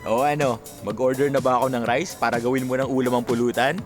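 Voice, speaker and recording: A man's voice: mid-range voice, fairly even intonation, fast talker, over music, natural voice. A Filipino man playing an earnest, guarded young man secretly in love, in a radio drama. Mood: bantering. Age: young adult.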